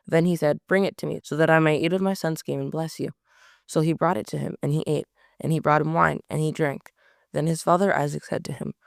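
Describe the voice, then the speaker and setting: A man reading scripture aloud at a steady pace, often stumbling over words and correcting himself. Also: on the high side, gravelly, clear, resonant tone, dry recording, studio quality. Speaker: Bible reader.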